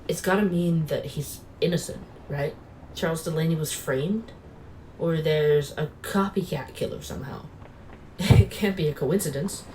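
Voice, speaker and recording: A man's voice: fairly high pitch, unhurried, a little mellow, slight background noise, natural voice, low-quality recording. A man playing a rattled young investigator who talks feverishly to himself, muttering and close to breaking down.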